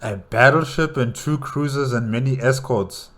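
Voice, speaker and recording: A man's voice: mid-range voice, moderate pace, fairly bright tone, faint music behind. A man giving casual reaction commentary as a host, thinking aloud hesitantly and repeating his words.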